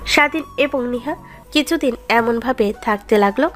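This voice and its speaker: A woman's voice: fairly high pitch, moderate pace, faint music behind. A woman narrating a scripted romantic story as its storyteller.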